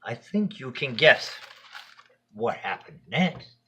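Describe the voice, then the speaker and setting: A man voicing Death as a gentle, wise, solemn storyteller in a bedtime tale. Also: mid-range voice, big pitch swings, slow, a little hiss or hum, exaggerated voice.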